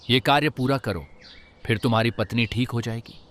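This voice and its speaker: A man voicing a calm, stern talking rabbit who is a reborn saint, in a solemn, measured way for a Hindi cartoon. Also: medium pitch, slight background noise, natural voice. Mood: solemn, commanding. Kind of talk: Hindi animated moral story dialogue.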